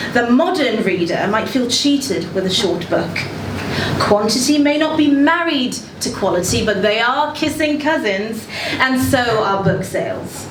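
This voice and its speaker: Condescending book editor, acted by a woman, higher-pitched, deliberate and blunt, satirical live reading in a noisy room. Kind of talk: dramatic reading of a satirical letter. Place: indoor social venue at live reading event. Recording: roomy, noisy live venue, distant mic.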